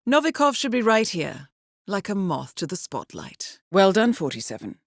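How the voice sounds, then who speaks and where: An actress voicing a cool-headed female spy handler in a video game, briefing an agent with measured, polished precision and dry wit. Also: medium pitch, wildly swooping pitch, no echo. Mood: intrigued, dryly wry. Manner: measured, composed, precise, understated. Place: studio.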